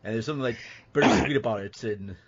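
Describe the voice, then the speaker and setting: A man's voice: fairly low pitch, quick pace, husky. Casual male podcast host, slightly muffled. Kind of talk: casual film discussion.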